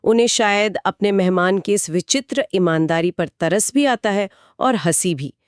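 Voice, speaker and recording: A woman's voice: mid-range voice, measured pace, smooth, dry recording, clean studio sound. A woman co-host retelling a short story as scripted narration within a two-person discussion.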